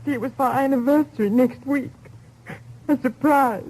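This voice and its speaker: Bewildered small-town widow, very high breathy voice, tearful, halting, folksy, vintage 1950s radio. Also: female voice, warm tone, rough recording. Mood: shaken, hesitant. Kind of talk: 1950s radio drama acting. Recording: old 1950s radio recording.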